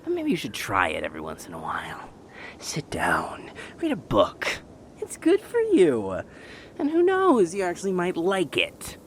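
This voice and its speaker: A man's voice: on the high side, huge pitch swings, moderate pace. Man acting bookish cautious sidekick, wry teasing, hugely varied intonation, slight noise, audio drama. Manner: dry, wry, needling. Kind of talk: anime audio roleplay acting.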